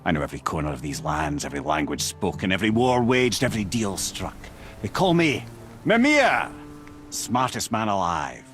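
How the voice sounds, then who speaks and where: An actor playing a wise, witty and boastful captive sage in a video game, speaking in a wry, theatrical way. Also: male voice, fairly low pitch, huge pitch swings, normal speed, a bit bright, soft background music. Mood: proud, boastful. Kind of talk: dramatic game acting.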